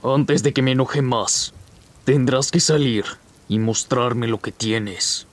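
A man's voice: mid-range voice, slow, close to an everyday voice. A man voicing a menacing, irritable gangster cat in a cartoon, impatient and sardonic. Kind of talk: animated fan comic dub.

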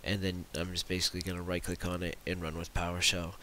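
Casting: male tutorial narrator, low and crisp-edged, informal and unhurried, casual screen-demo delivery. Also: monotone, bright, forceful tone. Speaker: tutorial presenter demonstrating own script. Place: voice-over for a screen recording. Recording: hissy voice-over recording.

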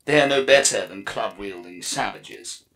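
Haughty alien swordswoman for audiobook male performer: contemptuous killer, gravelly, forceful edge, measured disdain, processed voice. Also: fairly low pitch, expressive. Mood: cold contempt. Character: haughty, scornful alien female warrior elder, cold killer. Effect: voice effect on dialogue.

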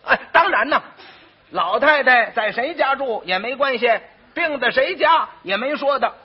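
A pompous eldest brother from a comic story, put on by a male storyteller, frets and stammers in a Beijing accent. His high, husky voice swings widely, insisting on every point. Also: animated, normal speed, full character voice. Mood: self-righteous, fretful. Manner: stammering, emphatic, repeats himself. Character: pompous, fretful eldest brother guarding his good name. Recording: muffled, dull old recording.

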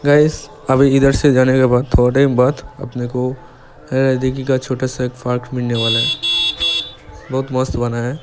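A man's voice: medium pitch, little pitch movement, normal speed, over music. A young man in his twenties chatting casually to viewers while riding a motorbike on a village road, recorded outdoors with a helmet-mounted camera and road noise around him. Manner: chatty, informal, addresses viewers as guys. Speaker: motovlogger.

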